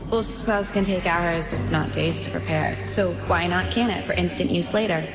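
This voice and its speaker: A woman's voice: lowish voice, normal speed, close to an everyday voice. An actress playing an earnest, guarded young witch in a video game cutscene. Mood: earnest explaining. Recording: roomy echo under loud music.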